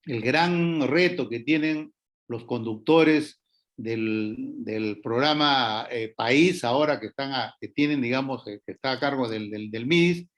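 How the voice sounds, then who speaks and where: A man's voice: mid-range voice, measured pace, fairly bright tone. A man giving panel remarks, hesitant, with many fillers and restarts.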